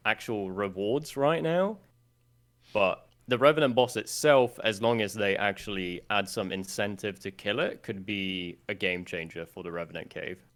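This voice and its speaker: A young man from Sweden with a Swedish accent, a guest chatting casually on a podcast and thinking aloud as he talks. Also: lowish voice. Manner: relaxed, thinks aloud with fillers.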